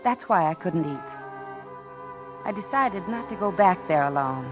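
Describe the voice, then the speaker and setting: Lively, uneasy 1950s radio widow. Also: female voice, on the low side, measured pace. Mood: uneasy, reflective. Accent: American. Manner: genteel, confiding, polite. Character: polite, uneasy widowed office worker woman, recounting nervously. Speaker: radio drama lead and narrator. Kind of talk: 1950s American radio suspense acting. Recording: muffled 1950s radio recording, organ music.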